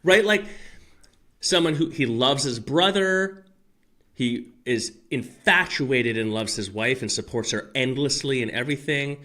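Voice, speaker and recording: Man, podcast guest, casual chat, rambling, animated, swears. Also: mid-range voice, measured pace. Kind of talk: casual conversation.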